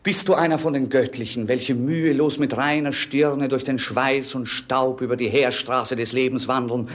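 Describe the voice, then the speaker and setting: A man's voice: mid-range voice, steady tone, normal speed, close to an everyday voice. Melancholy young prince, theatrical man acting. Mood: exalted. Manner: theatrical, ironic, declamatory. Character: melancholy, bored young prince, witty idle dreamer. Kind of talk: 1950s German radio drama acting. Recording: 1950s radio play recording.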